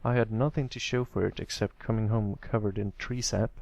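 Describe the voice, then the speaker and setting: A man voicing a kindly, encouraging older brother pony with a relaxed, reassuring way of talking, in a read-aloud story. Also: fairly low pitch, fairly quick, creaky, no echo, lo-fi sound. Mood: reminiscing.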